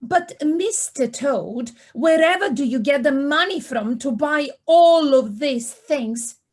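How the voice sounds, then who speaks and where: A woman acts out a small, anxious meadow creature in an audio play. The voice is high and slow, very polite, hesitating whenever flustered. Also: animated. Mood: puzzled concern. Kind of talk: amateur audio play acting.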